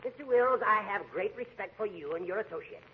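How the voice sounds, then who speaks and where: An actor with an American accent playing a crotchety, imperious judge in a 1940s radio comedy. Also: male voice, sits high, animated, brisk. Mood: stern. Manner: imperious, scolding, sarcastic. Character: crotchety, easily offended male judge ruling his courtroom. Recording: muffled, noisy 1940s radio recording.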